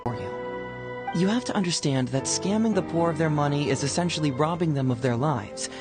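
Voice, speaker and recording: An actor playing a young male detective in a video game, breezy, quick-witted and self-assured, with a smooth, playful delivery. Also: fairly high pitch, lively intonation, music in the background, natural voice. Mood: thoughtful, earnest. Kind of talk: scripted game voice acting.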